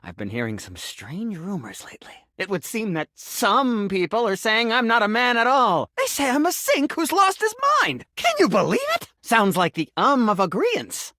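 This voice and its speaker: A whimsical, melodramatic sink from a video game who insists he is human. The voice sits fairly high and swoops extravagantly between grandiose flourishes and deadpan asides. It is recorded very dry and close. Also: no echo, exaggerated voice. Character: melodramatic, eccentric talking sink who believes he is a man. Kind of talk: theatrical video game character acting.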